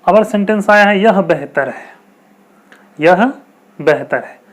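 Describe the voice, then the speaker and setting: A man explaining common English mistakes in a video lesson, slowly repeating example sentences and asking rhetorical questions. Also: sits high, expressive. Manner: rhetorical questions, chatty teacherly asides. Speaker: English teacher.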